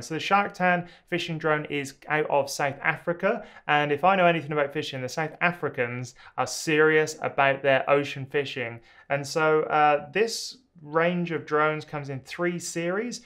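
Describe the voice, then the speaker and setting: Man, thirties, smooth clear voice, slightly high, relaxed, upbeat, filler-heavy review talk. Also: normal speed, hardly any pauses. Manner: relaxed, enthusiastic, many ums and uhs. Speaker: drone reviewer. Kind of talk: casual product review to camera. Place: small indoor home studio.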